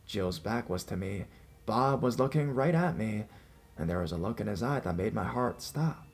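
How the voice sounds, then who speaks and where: A man's voice: fairly low pitch, lively intonation, normal speed, creaky. A man narrating scripted first-person scary stories as a storyteller. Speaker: narrator reading listener-submitted scary stories. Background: background music.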